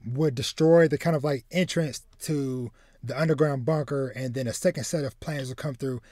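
A man's voice: medium pitch, moderate pace, no echo. Rambling male podcast host, dry close sound. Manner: animated, rambling, repeats words while searching for phrasing. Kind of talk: casual chat, retelling a film plot. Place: home room with bookshelves, indoors.

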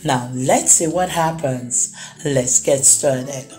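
A woman reading a children's book aloud as a storyteller, expressively and with deliberate, dramatic pauses. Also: a bass voice, mellow, soft background music.

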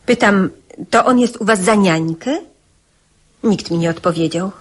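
An actress playing a caring, brisk mother of a schoolboy, giving motherly instructions in a radio drama. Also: mid-range voice, husky. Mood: brisk, matter-of-fact.

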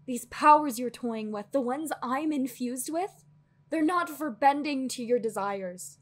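A woman playing a weary, guarded sorceress in an audio roleplay, earnest and anxious, with a breathy, husky voice and hesitant, sighing phrasing. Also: sits high, animated, measured pace. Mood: stern. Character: weary guarded female sorceress, anxious, fiercely logical, secretly lonely. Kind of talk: dramatic audio roleplay acting.

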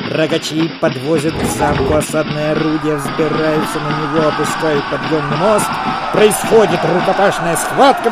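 A man's voice: a little high, poor audio. A man giving a dramatic, declamatory scripted narration, like a storyteller accompanying a silent film.

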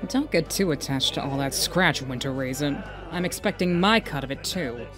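A woman's voice: deep voice, animated, normal speed, loud music behind the voice. A sassy vain fish woman. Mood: haggling. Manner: sassy, self-assured.

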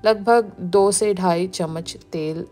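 A woman, narrating a cooking video as the channel's host, explaining each step in a relaxed way. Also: fairly low pitch, slow. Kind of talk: recipe voice-over narration. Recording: background music.

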